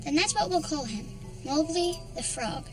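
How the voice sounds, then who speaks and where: An actress playing a young woman who reads a jungle story aloud, lively with the animal voices, and recalls her childhood. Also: sits high, normal speed. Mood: storytelling. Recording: film audio from a distance, music behind.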